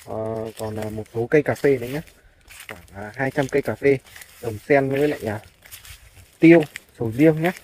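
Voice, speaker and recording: A man's voice: medium pitch, a little mellow. A man working as a real estate agent narrates a walk-through tour of farmland, politely addressing viewers, filmed on a handheld phone. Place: outdoors, walking through farmland. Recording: clear background noise, outdoor phone video.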